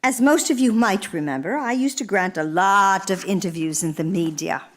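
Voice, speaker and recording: An actress playing an elderly, gracious former First Lady, speaking in a measured, genteel, reflective way as in a stage monologue. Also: mid-range voice, natural voice. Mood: reflective, confiding. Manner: measured, genteel, deliberate storytelling. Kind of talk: theatrical one-woman monologue. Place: live radio theater performance in a church.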